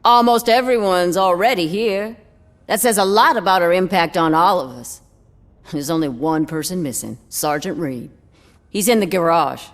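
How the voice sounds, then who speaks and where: A woman's voice: on the low side, normal speed, lightly acted. A woman playing a tough but shaken police officer in a video game, plain-spoken and guarded. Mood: subdued.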